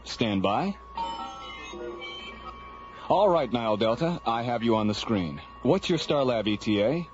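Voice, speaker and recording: Casting: station controller for sci-fi radio play, mid-pitched, businesslike but affable, music behind, muffled recording. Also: male voice, sing-song, measured pace, long pauses. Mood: efficient. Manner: businesslike yet friendly. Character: affable, efficient space station traffic controller. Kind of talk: radio drama acting. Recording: dull old radio drama, music behind.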